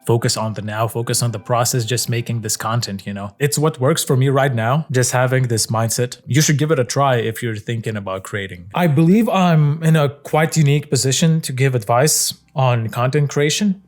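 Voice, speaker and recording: Young man, Lithuanian accent, English as a second language, casual reflective vlog talk, mid pitch, relaxed, fillers and swearing. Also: moderate pace, few pauses. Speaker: content creator.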